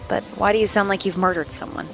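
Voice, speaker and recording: A woman's voice: medium pitch, steady tone, fast talker, over music, lightly acted. A woman acting a stubborn, guarded young woman in an audio drama, with a dry, exasperated manner. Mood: aloof.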